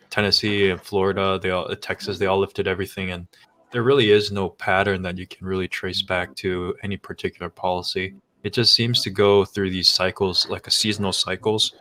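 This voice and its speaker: Very deep-voiced man in casual chat. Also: sharp tone. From United States. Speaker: stream chat participant.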